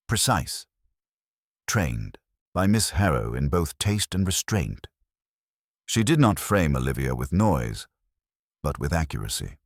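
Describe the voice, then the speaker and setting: Man reading as deep, imperious detective. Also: no echo, clean studio sound, full character voice. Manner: formal, deliberate pronouncements. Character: imperious, erudite male detective, deliberate and terse. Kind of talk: detective audiobook character dialogue.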